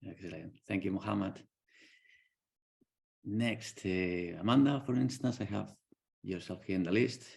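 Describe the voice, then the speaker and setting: A man's voice: sits low, measured pace, gravelly, pauses often, darkish tone. A man, a professor, hosts an informal online conversation, hesitant and repeating words as he praises his students. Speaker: professor hosting student presentations.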